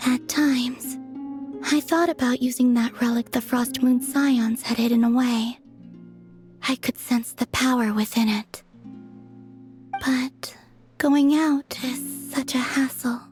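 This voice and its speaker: Game role: weary, aloof young goddess; high breathy voice, very unhurried, wistful. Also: female voice, steady tone. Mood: quiet, tired. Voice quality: soft, breathy. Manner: slow, wistful, solemn delivery. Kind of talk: video game voice acting. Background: background music.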